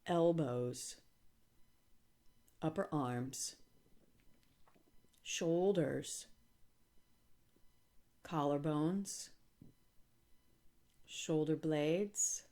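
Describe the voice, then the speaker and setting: A woman leading a guided relaxation as a yoga instructor, speaking calmly and gently with deliberate pauses. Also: sits low.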